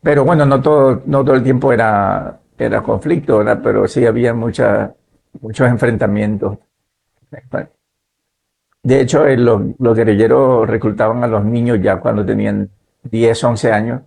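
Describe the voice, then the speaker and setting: Man in his 50s from nicaragua, gravelly, husky tone, measured delivery, pastor guest. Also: mid-range voice, steady tone, a little mellow, poor audio. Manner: measured, adds "¿verdad?" tags. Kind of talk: relaxed testimony in conversation.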